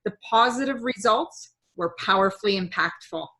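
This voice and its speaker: An upbeat woman host speaks in a medium-pitched voice. She reads a guest introduction with bright enthusiasm, in the polished style of a talk show. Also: moderate pace, low-quality recording.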